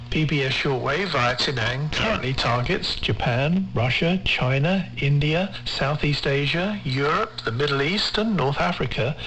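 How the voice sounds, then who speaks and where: A man reads a scripted radio feature in a measured, formal, carefully enunciated way, as a presenter on a shortwave broadcast. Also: mid-range voice, a bit bright, slight background noise, rough recording.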